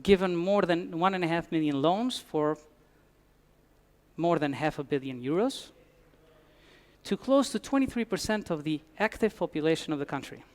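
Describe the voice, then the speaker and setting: Man, high-pitched voice, conference talk, dry humor, restarts phrases. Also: animated, normal speed, long pauses. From Albania. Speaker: conference speaker. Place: conference hall.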